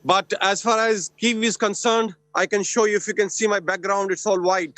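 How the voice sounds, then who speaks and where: A man reporting live by phone for a news channel, brisk and matter-of-fact. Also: high voice, steady tone, a bit bright, no room sound. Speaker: field reporter.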